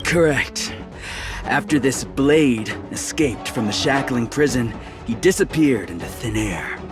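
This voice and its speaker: A man's voice: mid-range voice, expressive, a little slow, natural voice. Man playing a stern knight captain over music. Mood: surprised, dutiful. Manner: formal, questioning. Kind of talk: English video game dub acting. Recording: game music behind.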